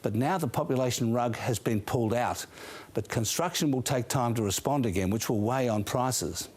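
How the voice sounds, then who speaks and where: A man's voice: medium pitch, moderate pace, a little mellow, husky. A man with an Australian accent presenting a scripted finance report on the news.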